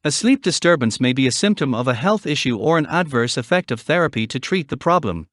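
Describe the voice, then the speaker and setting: A man reading a scripted passage aloud with a measured, formal delivery. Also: mid-range voice, sing-song, no room sound, clean studio sound. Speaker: read-aloud model reader.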